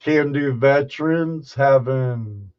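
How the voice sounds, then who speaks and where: Male livestream storyteller, folksy and halting, very slow delivery, mid-pitched voice, casual chat. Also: darkish tone, highs cut off.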